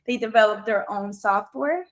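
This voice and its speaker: A woman's voice: medium pitch, moderate pace. A young woman from Colombia whose first language is Spanish, answering questions in a video job interview, hesitant and full of ums. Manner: hesitant, many ums and restarts. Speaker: job candidate. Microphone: video call.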